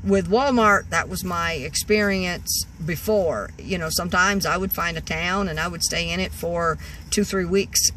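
Rambling woman in her sixties, bright voice. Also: lowish voice, measured pace, slight background noise, low-quality recording. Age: 60s. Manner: rambling, many restarts and "you know"s. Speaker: vlogger. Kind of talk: casual storytelling. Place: parked car, indoors of vehicle. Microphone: phone at arm's length.

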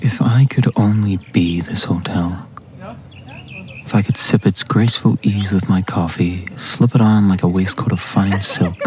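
An actor playing the inner voice of a self-conscious, lovestruck young gentleman in a radio drama, hushed, intimate and poetic. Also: deep voice, steady tone, a little slow. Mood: wistful, musing.

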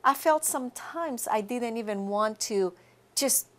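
A middle-aged woman hosting a friendly TV interview in a studio living-room set, unhurried, repeating words and laughing often. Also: a little high. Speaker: TV interview host.

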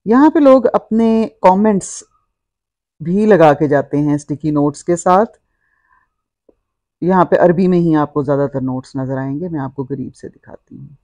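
A woman's voice: low-pitched, expressive, normal speed, dark tone, no echo. A middle-aged woman, narrating a walk-through vlog in a descriptive, explanatory way. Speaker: vlogger.

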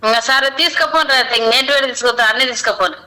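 Shrill, scolding middle-aged woman. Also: racing, pushed and bright, rough recording. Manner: scolding, exasperated. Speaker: older sister on the phone. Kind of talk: heated phone argument.

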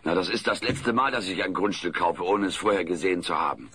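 A man's voice: low voice, a little fast, fairly bright tone, slightly rough, full character voice. A man playing a brash, sarcastic, wisecracking furry alien houseguest in a comedy audio play. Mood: sardonic. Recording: noisy old cassette recording.

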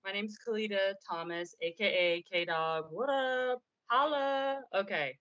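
A woman's voice: mid-range voice, unhurried, fairly bright tone, muffled recording. A woman from California with an American accent, introducing herself very casually with playful, laid-back slang. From Pomona, Los Angeles, California, US. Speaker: academic librarian.

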